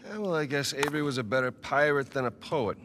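A man's voice: mid-range voice, quick pace, background noise, natural voice. An actor playing an easygoing, wisecracking treasure hunter in his thirties with an American accent, in natural game cutscene style. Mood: curious, puzzled.

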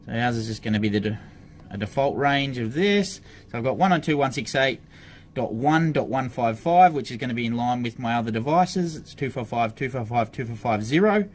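A man giving a casual hands-on product tutorial, informally talking through each step as he works at an office desk. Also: medium pitch. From Australia. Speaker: product demonstrator for an intercom supplier. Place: indoor office desk area. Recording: clear background noise, room ambience.

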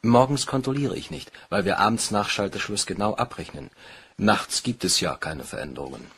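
An actor playing a meticulous, stoic bank cashier, formal and deferential, in a crime radio play. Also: male voice, on the low side, very animated, moderate pace, a bit bright, slight background noise. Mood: formal, subdued. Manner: formal, stiffly polite.

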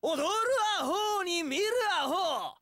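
A man's voice: very high voice, lively intonation, slow, sharp tone, no room sound, clean studio sound, exaggerated voice. An actor playing a carefree young wandering swordsman in an action game, breezy, theatrical and swaggering, a playful romantic brawler. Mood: breezy defiance. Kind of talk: Japanese action game voice acting.

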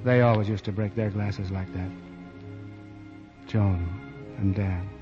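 An actor playing a loyal, plainspoken friend in a 1940s radio drama. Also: male voice, on the low side, fairly even intonation, takes it slowly. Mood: subdued, wistful. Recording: muffled 1940s radio, music behind.

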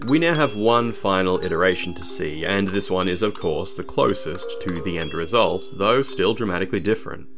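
A man narrating a scripted game analysis in a measured, formal documentary manner. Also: low-pitched, lively intonation, over music. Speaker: video narrator.

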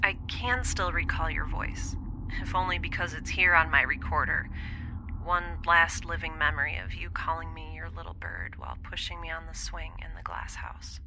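A woman's voice: sits low, animated, moderate pace, background noise. A woman playing a lonely, wistful young space traveller in an intimate audio diary drama, hesitant and introspective, often dropping toward a whisper. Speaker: podcast audio drama lead.

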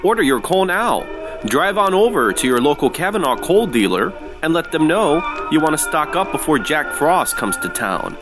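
A man's voice: fairly high pitch, very animated, moderate pace, over music. A man playing an upbeat, folksy 1940s radio announcer with emphatic, wholesome salesmanship. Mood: peppy, selling.

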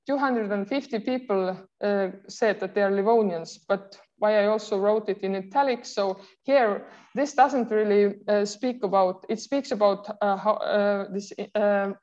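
A woman's voice: medium pitch, darkish tone. A woman in her thirties with an Estonian accent, giving an online university lecture with frequent filler sounds and restarts. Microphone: video call. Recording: muffled video call audio.

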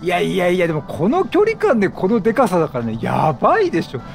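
A man doing excitable, chatty game commentary, reacting aloud and bantering with the characters. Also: high voice, fast talker, creaky, faint music behind. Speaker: gaming streamer, let's play host.

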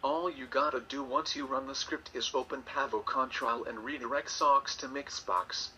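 A synthesized man's voice reads screen text in an evenly enunciated way. It is very sharp and forward, medium in pitch, coming from a computer speaker with slight background noise. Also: fairly even intonation, normal speed, rough recording. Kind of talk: synthesized text-to-speech readout.

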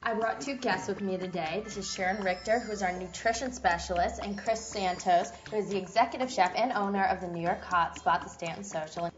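A woman's voice: medium pitch, a little fast, few pauses, highs cut off, soft background music. A young woman hosting a lifestyle show in a studio set, bubbly and friendly, on a lapel mic. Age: young adult. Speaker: host. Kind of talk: upbeat presenting.

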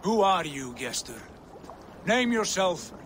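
An ambitious Viking warrior speaks in grand, deliberate phrases for a video game. The voice sits a little high and takes its time. Faint water ambience runs behind it. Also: male voice, big pitch swings, fairly bright tone, slight background noise, heavily acted. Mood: challenging. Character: proud, ambitious Viking warrior prince, hungry for glory. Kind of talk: dramatic video game acting.

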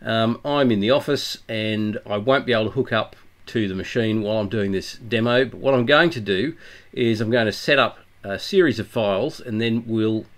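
Middle-aged man, stuffy nose from flu, relaxed tutorial talk, hesitant fillers, workshop office. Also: on the low side, normal speed. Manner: relaxed, hesitant, many ums and uhs. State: congested from flu. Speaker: channel host demonstrating laser engraving software. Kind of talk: casual tutorial walkthrough.

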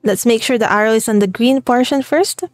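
A woman's voice: a little high, brisk, no room sound, soft background music. A young Filipino woman with a Tagalog background, chatting cheerfully as she plays a game and talks to her viewers, with frequent laughs. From Philippines. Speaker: gaming channel host. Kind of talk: let's play commentary.

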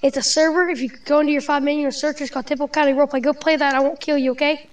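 A woman with an extremely high-pitched voice plays a roleplay game. She swears freely and taunts the other players with brash trash talk, improvising as she goes. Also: level tone, very fast.

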